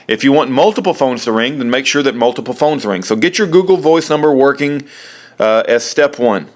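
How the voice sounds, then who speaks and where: A man talking through an informal how-to, explaining step by step in a halting way and repeating himself. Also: medium pitch. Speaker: presenter of a how-to video. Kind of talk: informal spoken tutorial, step by step.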